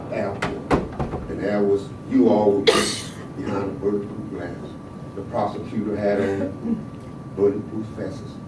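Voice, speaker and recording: Older man from the South giving a fiery podium speech, low voice, far off in an echoing hall. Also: background noise. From American South. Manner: rising to loud emphatic exhortation. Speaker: panel speaker. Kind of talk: impassioned activist speech. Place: large hall in a state capitol. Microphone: podium microphone. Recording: echoey hall, heard from afar.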